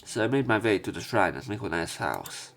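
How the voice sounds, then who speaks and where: A man's voice: sits low, sing-song, normal speed, a bit bright. A man reading visual novel story text aloud as a let's play narrator, with some commentary. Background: game music.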